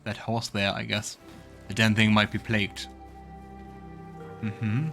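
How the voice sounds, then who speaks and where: A man's voice: lowish voice, monotone, takes it slowly, gravelly, fairly bright tone, soft background music. A man hosting a let's play, commenting on the game and reading its text aloud.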